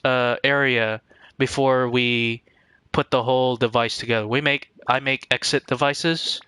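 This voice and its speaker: A man giving casual live-stream game commentary in a halting, rambling way, often repeating words as he goes. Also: medium pitch, pushed and bright, clean tone.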